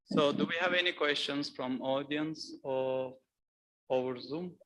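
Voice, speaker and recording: Turkish-accented man running a conference q&a, fairly high voice, calm and polite. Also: a little slow, poor audio. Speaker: session chair. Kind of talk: chairing a conference session.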